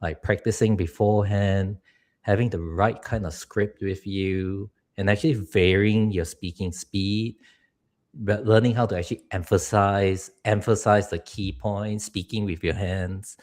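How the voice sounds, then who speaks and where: Deep voiced guy from singapore, earnest coach on a livestream, laughs here and there. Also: steady tone, a little slow, darkish tone. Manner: earnest, advice-giving, laughs now and then. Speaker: public speaking coach. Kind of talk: live stream talk.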